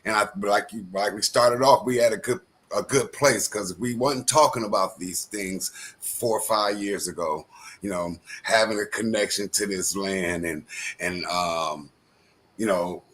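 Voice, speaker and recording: Here is a man on a discussion panel with a lowish voice. He talks in a halting, agreeable way and keeps restarting his sentences. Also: fairly bright tone. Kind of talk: casual group discussion.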